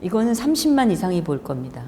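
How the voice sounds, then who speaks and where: A woman filling in as co-host, teasing with dry wit, her voice a little hoarse. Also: fairly low pitch, sing-song, quick pace, dark tone. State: slightly hoarse. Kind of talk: casual talk show banter. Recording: long hall reverb, faint noise.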